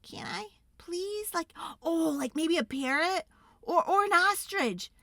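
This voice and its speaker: A woman voicing an eager, pleading young child in an excitable, dramatic storybook read-aloud. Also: very high voice, no room sound, clean studio sound, voice pushed up, exaggerated voice. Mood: hopeful. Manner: wheedling, excitable, dramatic, drawn-out emphasis.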